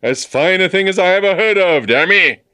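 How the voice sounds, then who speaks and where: A man's voice: high-pitched, lively intonation, sharp tone, clean tone, no echo, voice pushed up. Man playing a bluff, wistful old army colonel. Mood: bluff, hearty. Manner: halting, repetitive, old-fashioned courtly and blustering phrasing. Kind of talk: dramatised storybook reading.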